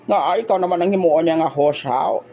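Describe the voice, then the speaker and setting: A woman acts out an old grandmother with a gravelly, mellow voice. She grumbles and exclaims her way through a comic family audio drama. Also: fairly low pitch, a little fast, natural voice. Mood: chatty, exclaiming. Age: older. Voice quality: creaky. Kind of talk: comedic audio drama dialogue.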